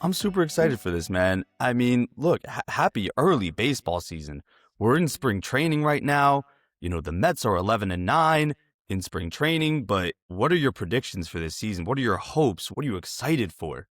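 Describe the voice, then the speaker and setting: A man's voice: mid-range voice, big pitch swings, moderate pace, no room sound, clean studio sound. A man hosting a sports show, chatting casually as he interviews a guest.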